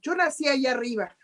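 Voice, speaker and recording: A woman's voice: high voice, a bit bright. Middle-aged Mexican woman, guest on a video call, chatty and explanatory, Mexican Spanish accent. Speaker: guest journalist. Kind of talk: interview answers.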